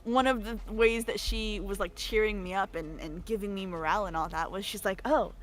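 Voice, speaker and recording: A woman's voice: mid-range voice, fairly quick, a little hiss or hum. A young woman in a casual livestream chat, telling stories in a jokey, giggly manner. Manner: animated, giggly, jokey storytelling with acted quotes. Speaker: voice actor, livestream guest.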